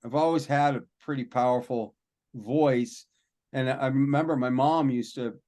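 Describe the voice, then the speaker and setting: A man, a rock and metal singer, telling stories from his life in a relaxed, rambling, self-deprecating way during a podcast interview. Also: mid-range voice, moderate pace. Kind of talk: casual interview storytelling.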